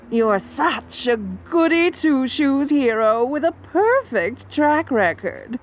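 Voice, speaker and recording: Woman voicing a crazy obsessed supervillain girl, high voice, teasing, drags her words out, pitch jumping all over.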